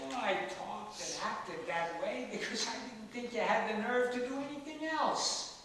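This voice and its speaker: A man's voice: very high voice, expressive, normal speed, a bit bright. An actor playing a feisty, stubborn ninety-seven-year-old father who argues bluntly and emphatically in a stage play. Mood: exasperated, reproachful. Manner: emphatic, argumentative, repeats words for force. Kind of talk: one-act stage play dialogue. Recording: echoey hall from far off, faint noise.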